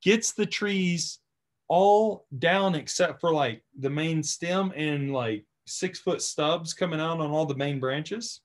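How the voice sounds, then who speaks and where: A man's voice: on the high side, slow. A man who runs a tree service, chatting casually and telling stories from work. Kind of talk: casual chat, storytelling from work.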